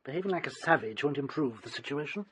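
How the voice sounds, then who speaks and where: An actor playing a cool, condescending family doctor with an English accent, formal and quietly sinister, in a radio drama. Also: male voice, a little high, lively intonation, fast talker, slight background noise. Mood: cool, reproving.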